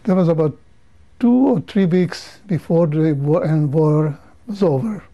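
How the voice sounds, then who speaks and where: An older man from Romania, whose first languages are Hungarian, Romanian, Yiddish and Hebrew, giving a measured, halting interview testimony with frequent restarts. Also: on the high side, warm tone, lo-fi sound.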